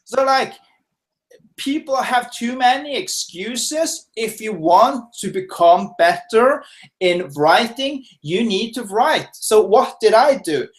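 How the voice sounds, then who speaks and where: A man's voice: very high voice, animated, takes it slowly. A man from Norway, a guest expert giving casual, filler-heavy answers in a webinar interview from his home office. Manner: casual, chatty, lots of fillers and restarts.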